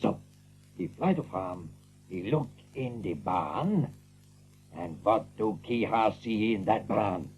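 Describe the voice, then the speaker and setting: A man playing an excitable, blunt, big-hearted seagull who talks in broken English with drawn-out exclamations, in an audiobook drama. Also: fairly low pitch, a little hiss or hum. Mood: animated storytelling. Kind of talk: audiobook drama character acting.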